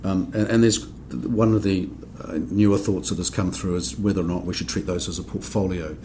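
A man from New Zealand with a New Zealand accent, explaining accounting rules on a podcast in a measured way, with frequent ums and restarts. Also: low voice, fairly even intonation, mellow, slight background noise. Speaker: accounting standards board member. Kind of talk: podcast discussion.

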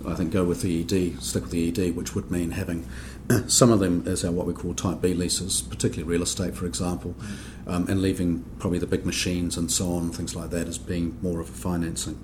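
New zealand man, deep low voice, measured explainer, lots of ums, faint background hiss. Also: flat delivery. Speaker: accounting standards board member. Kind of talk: podcast discussion.

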